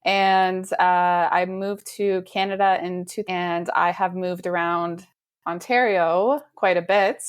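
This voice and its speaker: A woman in her thirties, a real estate agent from the United States, chatting relaxed in an interview with light laughs and fillers. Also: lowish voice, smooth. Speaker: realtor guest. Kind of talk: casual interview chat.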